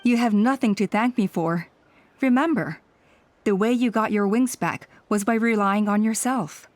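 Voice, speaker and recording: A woman's voice: mid-range voice, moderate pace, a little mellow, natural voice. Actress as composed, encouraging female elder. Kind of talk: scripted video game dub acting.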